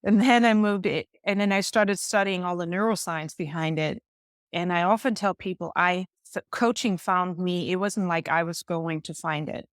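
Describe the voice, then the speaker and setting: Middle-aged woman, lowish voice. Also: moderate pace, clean tone, no room sound, studio quality. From Denmark. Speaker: coach, interview guest. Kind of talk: conversational interview answers.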